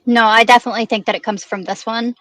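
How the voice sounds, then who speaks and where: A woman's voice: on the high side, steady tone, quick pace, a bit bright, no room sound. A woman talking casually through a news case on a true crime stream, explaining things in a tentative way. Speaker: guest commentator on a true crime livestream.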